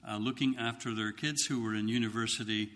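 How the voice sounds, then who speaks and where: A man in his fifties with a slight Scottish accent, speaking to a church congregation as a missionary, halting with many ums and restarts. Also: on the low side, steady tone, fairly bright tone, long reverb tail. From Scotland.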